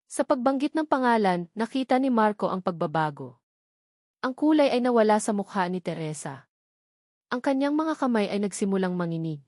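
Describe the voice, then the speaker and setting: A woman's voice: mid-range voice, a little mellow, no room sound, studio quality. A woman delivering scripted dramatic story narration.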